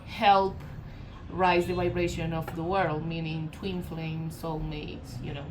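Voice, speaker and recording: A woman's voice: deep voice, little pitch movement, takes it slowly, noisy background. Colombian-accented woman giving a rambling tarot reading. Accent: Colombian Spanish. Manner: meandering, many fillers and self-corrections, soft laughs. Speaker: tarot reader. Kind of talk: casual spiritual channeled reading.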